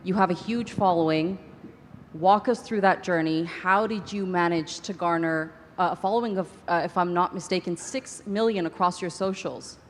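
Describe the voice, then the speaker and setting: A woman's voice: fairly low pitch, moderate pace, slight background noise. A woman moderator hosting a panel discussion on stage in a large hall. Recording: reverberant hall with background ambience.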